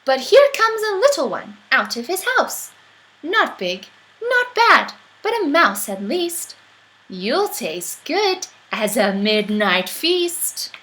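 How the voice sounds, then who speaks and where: A plucky little monster girl, played by a woman reading a storybook exclaims with wonder. Her voice is high and leaps around freely, with slow, deliberate phrasing. She sounds curious and bold. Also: fairly bright tone, full character voice, low-quality recording. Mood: indignant, amazed. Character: brave, curious young female monster child hunting a mouse.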